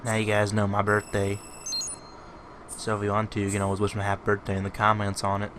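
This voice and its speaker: A man's voice: lowish voice, completely flat delivery, fairly quick, noisy background. A man giving casual commentary over his video game playthrough. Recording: game music behind the voice. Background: video game music.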